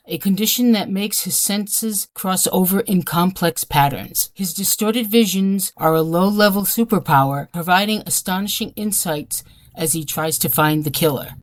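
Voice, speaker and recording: Female announcer for a scripted book promo, slightly low voice, dry studio sound. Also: no echo. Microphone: close dry studio voice-over.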